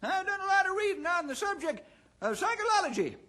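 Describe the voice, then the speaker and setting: Cranky, high-pitched folksy old codger. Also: male voice, big pitch swings, fairly quick, sharp tone, full character voice. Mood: folksy, eager. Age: older. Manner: rustic, folksy, blustering drawl. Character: cranky, folksy old-timer, boastful musical jokester. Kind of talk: old-time radio drama acting.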